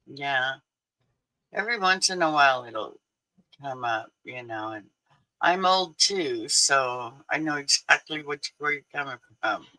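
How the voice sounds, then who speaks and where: A man's voice: medium pitch, lively intonation, a little slow, pushed and bright, poor audio. A man in his sixties hosting a casual livestream, chatty and laughing often as he answers his viewers. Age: 60s. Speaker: livestream host.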